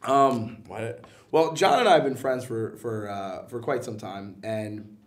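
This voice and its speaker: A man with a medium-pitched voice chats casually as an interview guest, picked up a little way from the microphone. He sounds enthusiastic and talks in loose slang with a joking streak. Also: unhurried. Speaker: guest, comic book and animation producer. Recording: voice somewhat far from the mic.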